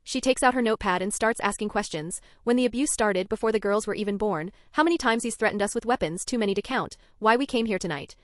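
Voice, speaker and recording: A woman's voice: mid-range voice, fast talker, hardly any pauses, no echo, studio quality. Woman narrating a tense first-person story.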